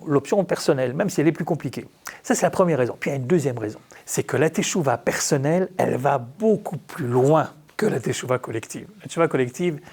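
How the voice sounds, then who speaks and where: Male rabbi, mid-pitched creaky voice; conversational, humorous teacher who poses questions and tells it like a story. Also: a little mellow. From France. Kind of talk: informal religious talk with rhetorical questions and acted-out dialogue.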